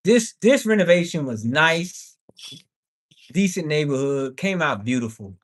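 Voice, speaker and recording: A man's voice: a little high, big pitch swings, unhurried, fairly bright tone. A man hosting a casual livestream, talking in a slangy, playful way with emphatic exclamations. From Bahamian background. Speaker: livestream host and house flipper.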